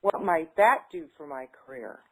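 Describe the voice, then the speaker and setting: A woman's voice: low-pitched, lively intonation, moderate pace, slightly rough. A woman taking part in a tapping session, echoing phrases back and speaking hesitantly with many fillers when she talks freely. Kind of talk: repeating affirmations, then casual talk.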